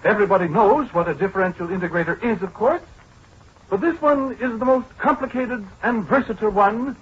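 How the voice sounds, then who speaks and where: An actor playing a proud, pedantic scientist in a 1940s radio drama, lecturing precisely with small hesitant interjections. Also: male voice, very high voice, fairly bright tone, slightly rough, full character voice. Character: proud, pedantic male scientist showing off a giant computer. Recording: dull, muffled 1940s radio broadcast.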